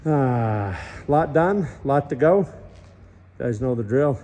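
A man's voice: medium pitch, expressive, slow pace, mellow, distant mic. Man explaining his build in an echoey concrete shell. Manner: explanatory, technical, talks straight to the camera. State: tired late at night. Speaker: builder and channel host. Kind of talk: casual build-progress vlog. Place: inside a large empty concrete pool shell, indoors. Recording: echoey concrete space with long reverb.

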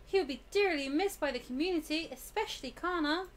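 A woman's voice: high-pitched, fairly quick, natural voice. A woman voicing the frightened young protagonist of a horror visual novel, dramatic and anxious. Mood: distressed.

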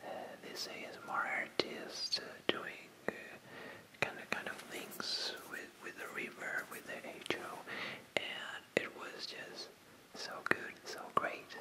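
ASMR host, full whisper, very slow and halting, addressing viewers casually. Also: low-quality recording. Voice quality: pure breathy whisper. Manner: hesitant, halting delivery with repetitions. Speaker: ASMR video creator.

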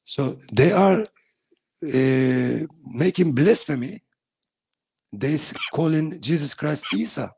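A man's voice: on the high side, animated, takes it slowly, creaky, darkish tone, breathy edge. A man with a Turkish accent, talking earnestly in broken English on a video call from a small bathroom at home. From Turkey. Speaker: guest giving testimony. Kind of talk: casual video call chat.

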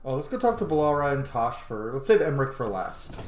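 A man's voice: mid-range voice, sing-song, fast, echoey room, slight background noise. A man in his thirties giving casual, sweary let's-play commentary, often muttering to himself. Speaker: gaming streamer. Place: small indoor room at home.

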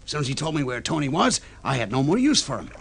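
A man's voice: medium pitch, very animated, quick pace, creaky. A man playing a cold-blooded, cocky gangster on the run in an old-time radio crime drama, slangy and dismissive. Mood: cocky, callous. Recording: old noisy radio recording with clicks.

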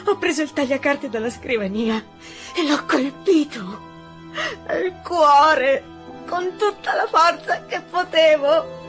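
A wronged, distraught young working girl in a radio drama. Her voice is very high, slow and tearful, halting between phrases, with music behind it. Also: a bit bright. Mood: anguished. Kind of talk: Italian radio drama acting.

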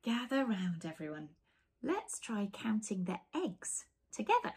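A woman voicing a weary, patient sheepdog who gently coaxes farm animals to bed in a children's storybook. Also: medium pitch, big pitch swings, unhurried, a little hiss or hum. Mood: delighted, coaxing. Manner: gentle, coaxing. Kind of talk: children's storybook read-aloud.

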